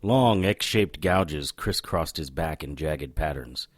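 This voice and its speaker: An actor playing a cocky, world-weary supernatural detective in a noir audio drama, sardonic and flippant. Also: male voice, a bass voice, animated, slow pace, dry recording, studio quality, exaggerated voice. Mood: brooding, dry.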